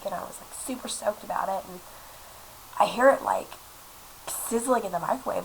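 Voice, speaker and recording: Young woman vlogger, sick and stuffed up, gravelly voice, chatty, rambling, filler-heavy, recorded on a laptop webcam mic with faint hiss. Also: medium pitch, poor audio. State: sick, congested with a cough. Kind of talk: casual chat to camera. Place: small apartment room indoors.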